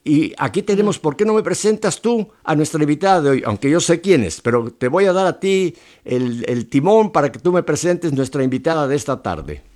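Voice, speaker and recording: A man's voice: a little high, lively intonation, fairly quick, hardly any pauses. A middle-aged Mexican man hosting a casual radio chat, talkative and joking. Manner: chatty, jokey, warm storytelling. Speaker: radio host.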